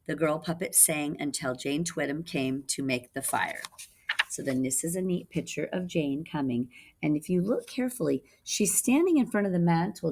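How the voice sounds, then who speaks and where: A woman's voice: low voice, darkish tone, slightly rough. A woman reading teacher giving a children's read-aloud, instructive, with explanatory asides to young listeners.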